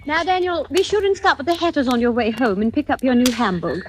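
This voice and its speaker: A woman's voice: high voice, fast, natural voice. A woman playing a doting, managing widow in 1940s radio drama, coaxing and motherly in a gushing way. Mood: bossy, fond. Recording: hissy old radio recording.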